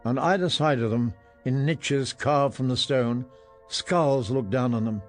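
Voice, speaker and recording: A man reading a fantasy story in audiobook style, with measured storytelling delivery. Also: mid-range voice. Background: soft music.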